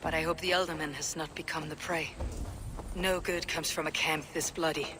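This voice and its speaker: A woman plays a weary female Viking leader for a game cutscene. Her voice sits fairly low, and she speaks gravely and tersely. Ambient noise is clearly audible behind her. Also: fairly even intonation, normal speed, close to an everyday voice. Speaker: lead game character. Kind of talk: video game cutscene acting.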